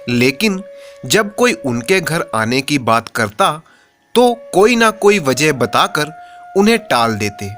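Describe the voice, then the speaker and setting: Guy reading a funny moral tale, really unhurried, music playing behind him. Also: fairly high pitch, fairly bright tone. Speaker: storyteller. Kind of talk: scripted comic moral story narration.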